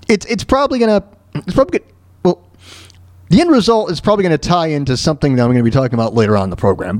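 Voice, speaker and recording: A man in his thirties hosting a talk show alone, telling stories and ranting casually in a halting, repetitive way with frequent throat clearing. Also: on the high side, a little mellow, dry recording. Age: 30s. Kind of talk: casual monologue, ranting and personal storytelling.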